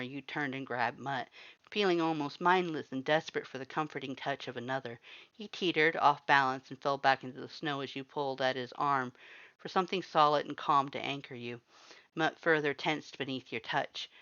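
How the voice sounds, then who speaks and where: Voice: mid-range voice, bright, forceful tone, clean tone, lo-fi sound. A narrator reading scripted fiction in a dramatic storytelling style.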